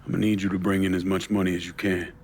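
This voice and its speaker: Gravelly Southern crime boss. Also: male voice, sits low, flat delivery, very fast, a little hiss or hum. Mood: businesslike, firm. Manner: terse, matter-of-fact. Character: stern, terse Southern crime boss out for revenge. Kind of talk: cinematic video game acting.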